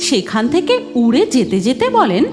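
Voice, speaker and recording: High lively woman narrating a cartoon tale, music behind. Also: moderate pace. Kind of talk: scripted cartoon story narration.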